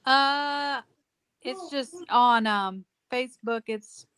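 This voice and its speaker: A middle-aged woman from Dayton, Ohio, a cast member, answering in a hesitant, unhurried way. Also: high-pitched, fairly bright tone, clean tone, no echo.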